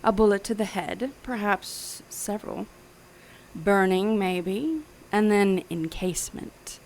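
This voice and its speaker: Casting: power-hungry woman scientist villain for audio thriller; heavy vocal fry, smug menace, theatrical precision. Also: mid-range voice, slow pace, a little mellow, poor audio. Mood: gloating menace. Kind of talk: audio drama acting, detective thriller.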